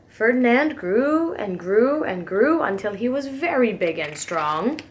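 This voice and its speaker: A woman reading a children's storybook aloud as a preschool teacher, with gentle, expressive emphasis. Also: medium pitch.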